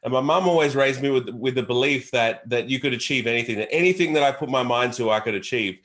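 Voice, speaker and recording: A man's voice: medium pitch, fast talker, fairly bright tone. A man with an Australian accent answering interview questions as a guest entrepreneur, in an earnest, emphatic, motivational way with repeated phrases.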